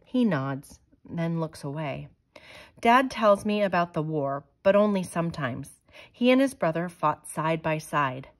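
A woman reading a children's picture book aloud in a measured, expressive way. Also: sits low. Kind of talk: storybook read-aloud.

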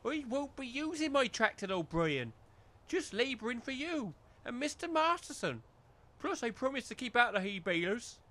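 Man doing a high-voiced, wheedling village chancer. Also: sing-song, moderate pace, bright, forceful tone, full character voice. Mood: grateful. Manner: rustic dialect, cajoling. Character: wheedling, chancer village labourer, rustic and chummy. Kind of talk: comedy audio drama.

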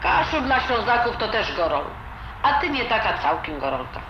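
A woman's voice: a little high, very animated, moderate pace. Female actor as a blunt old Silesian grandmother for radio drama; chiding, affectionate, noisy recording. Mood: mock scolding. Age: older. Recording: dull, roomy, noisy sound.

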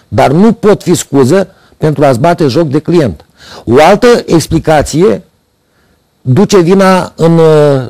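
A man's voice: on the high side, mellow, dry recording. A middle-aged man hosting a TV opinion segment, reading scripted commentary with sardonic irony at a studio desk into a lapel mic. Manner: sardonic, ironic complaints. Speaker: TV commentary host.

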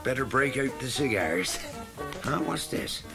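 An actor playing a wry, cigar-loving cartoon cat in a radio play, with folksy slangy grammar. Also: male voice, medium pitch, expressive, measured pace. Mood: wry grumbling. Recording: music behind, 1980s radio play.

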